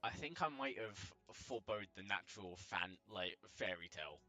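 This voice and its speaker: A man's voice: low-pitched, lively intonation, normal speed. A man co-hosting a game video with casual commentary, hesitantly thinking aloud. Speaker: gaming video co-host.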